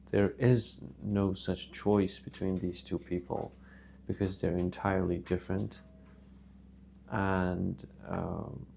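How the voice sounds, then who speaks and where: Gravelly, low-voiced man speaking earnestly. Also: darkish tone, slight background noise. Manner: earnest, hesitant, reverent honorifics. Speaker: religious video host. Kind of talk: casual religious monologue to camera.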